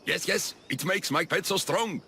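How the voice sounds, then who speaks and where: An actor playing an eccentric, obsessive doctor in a video game, fussy and jittery, doting and often repeating himself. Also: male voice, sits high, moderate pace, bright, forceful tone, slight background noise. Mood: defensive, regretful. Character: eccentric obsessive male doctor doting on his human pets. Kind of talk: video game character acting.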